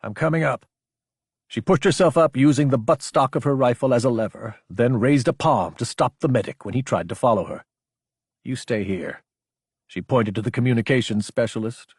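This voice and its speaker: Male narrator for action fiction audiobook, husky texture, very dry close-mic sound. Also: mid-range voice, no room sound.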